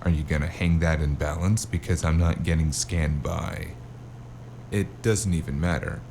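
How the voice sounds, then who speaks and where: A man playing a wary amnesiac drifter in a noir audio drama, hesitant and wry, with a very deep, gravelly voice. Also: steady tone, normal speed, darkish tone, slight background noise. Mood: defiant. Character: wary amnesiac male drifter narrating his noir tale. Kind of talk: noir audio drama acting and first-person narration.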